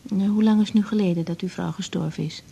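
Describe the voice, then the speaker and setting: A woman playing a brisk, caring young doctor in a 1980s radio drama, speaking frankly with a slightly husky voice. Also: medium pitch, fairly even intonation, mellow, highs cut off. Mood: brisk, indignant.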